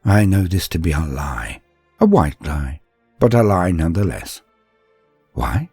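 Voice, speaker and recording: A man voicing an earnest young armored psyker apprentice, recounting his tale in solemn, deliberate, formal words as dramatic story narration. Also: sits low, very animated, a little mellow, slightly rough, no echo, soft background music, heavily acted.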